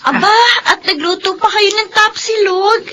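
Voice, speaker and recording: A woman acting a young Filipino mother in a radio drama, polite to elders but quick to argue and plead. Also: very high-pitched, bright, forceful tone, slight background noise. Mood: delighted. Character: young mother daughter, polite to parents, snappy with sister.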